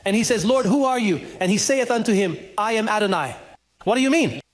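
A middle-aged man preaching a sermon on a studio stage into a handheld mic, animated and acting out dialogue, at times rising to a shout. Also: high voice, fairly quick. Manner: animated, gesturing, acts out dialogue, rises to shouts.

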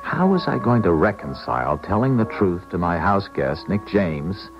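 A hard-headed ex-cop in a 1970s radio play, loyal and reasoning. His deep voice rises and falls expressively, and the old recording sounds dull. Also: very animated, over music. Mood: reflective. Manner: measured, reasoning. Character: hard-headed ex-police officer, loyal friend and narrator. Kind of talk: 1970s radio drama acting and first-person narration.

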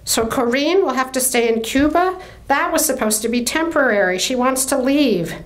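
A woman's voice: high voice, expressive, moderate pace, voice pushed up. A woman reading the part of a restless young daughter in a novel, pressing and questioning, pleading and defiant. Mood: insistent questioning.

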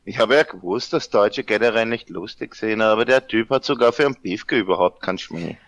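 A man's voice: on the low side, a little fast, fairly bright tone, no room sound, muffled recording, close to an everyday voice. A man playing a grumbling club-goer in a parody radio play. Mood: disdainful. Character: grumbling Austrian male audience member.

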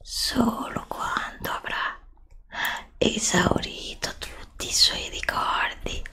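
A woman playing an evil, gloating horned sorceress in an ASMR roleplay, speaking in a menacing breathy whisper. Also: sharp tone. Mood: scheming, gloating. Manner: slow, menacing, drawn-out delivery. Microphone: close USB microphone.

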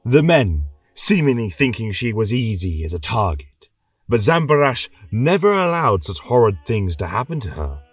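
A man's voice: lowish voice, very animated, moderate pace, husky, no room sound, far from the mic, soft background music. A man narrating a scripted tale as a religious chronicler, in a solemn, reverent, theatrical style. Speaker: storyteller and podcast host.

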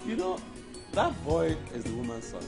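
Easygoing Nigerian husband, lively intonation. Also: male voice, fairly high pitch, moderate pace, vocal fry, music in the background, full character voice. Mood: amused. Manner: teasing, explanatory. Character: easygoing, teasing young Nigerian husband and son. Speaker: actor. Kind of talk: Nigerian film drama dialogue.

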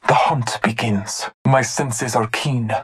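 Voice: mid-range voice, fairly even intonation, slow, very noisy, natural voice. An actor playing a devout, honor-bound masked hunter warrior in a video game, speaking in formal, solemn callouts. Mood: reverent, resolute.

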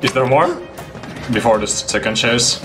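A young man streaming a game from a room at home, giving excited live reactions over game audio. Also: medium pitch, moderate pace. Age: young adult. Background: game audio and music.

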